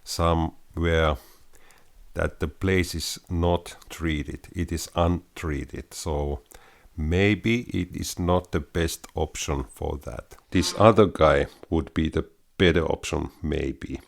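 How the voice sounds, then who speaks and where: A man speaks in a very deep, gravelly soft-bass voice, slowly and haltingly, pausing on many fillers. He sounds close and dry on a studio mic in a small home studio. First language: Finnish. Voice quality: very low baritone voice. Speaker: home-studio hobbyist, channel host. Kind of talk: casual gear demo vlog. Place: small home studio room under a sloped ceiling. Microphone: studio mic close up, headphones on.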